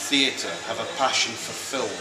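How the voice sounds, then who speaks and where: A Scottish man in his fifties, chatting in an interview about his career, with lots of fillers and pauses. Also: mid-range voice, reverberant, poor audio. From Scotland. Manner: hesitant, many fillers, chatty. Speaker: interviewee, creative director. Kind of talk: casual interview.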